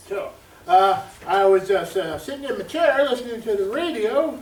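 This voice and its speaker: A man's voice: high-pitched, expressive, measured pace. A man playing a bumbling farm owner in a stage radio drama, rambling with hesitant stammers and repeats. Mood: flustered, rambling. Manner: hesitant, stammering, repetitive, easily sidetracked. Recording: distant, echoey stage recording, faint noise.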